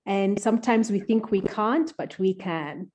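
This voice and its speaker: A woman's voice: medium pitch, measured pace, a little mellow. A woman hosting a podcast, reading introductions and putting questions to a guest. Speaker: podcast host. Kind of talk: scripted podcast introduction and interviewing.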